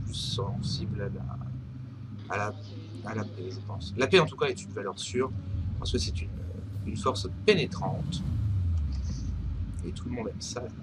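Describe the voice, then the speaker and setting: Guy with a cold talking through a naval battle game, lots of ums, game noise clearly behind him. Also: fairly low pitch, a little slow, pauses often. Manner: chatty, hesitant with many fillers, bursts of excitement at hits. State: has a cold. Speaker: gaming commentator. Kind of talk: live gameplay commentary.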